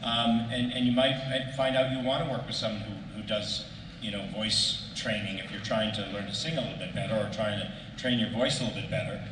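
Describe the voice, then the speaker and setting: A man speaking casually and haltingly as a voice actor on a panel, giving rambling, self-deprecating advice. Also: fairly low pitch, steady tone. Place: convention panel room, PA sound. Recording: echoey noisy hall from far off.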